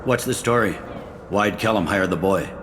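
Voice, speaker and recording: Game police captain male actor: middle-aged, low register, weary pragmatist, matter-of-fact delivery, slight background noise. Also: normal speed, close to an everyday voice. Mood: businesslike. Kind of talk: video game voice acting.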